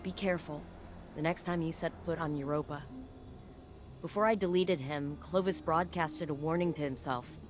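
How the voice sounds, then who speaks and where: An actress playing a weary, regretful scientist in a video game, talking in an earnest, reflective way. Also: female voice, deep voice, moderate pace, a little hiss or hum, lightly acted. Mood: warning. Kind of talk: scripted video game dialogue. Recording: music behind the voice.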